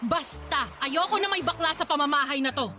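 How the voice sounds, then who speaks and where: A woman's voice: high-pitched, fairly quick, music in the background, natural voice. A woman playing an angry, intolerant mother in a radio drama, scolding in exasperation with a Filipino accent. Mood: stern, threatening.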